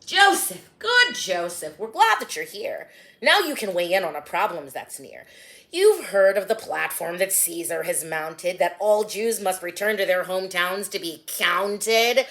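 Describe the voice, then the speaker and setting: A woman voicing an indignant grown man from the town who speaks loudly, high and excited. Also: measured pace, heavily acted. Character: loud, indignant grown man among the townsfolk.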